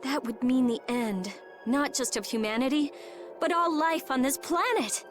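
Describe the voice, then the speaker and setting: An actress playing a blunt, excitable, hot-tempered young girl in a video game, with sharp, questioning delivery. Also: high voice, moderate pace, music in the background, natural voice. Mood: startled, questioning. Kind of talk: scripted video game acting.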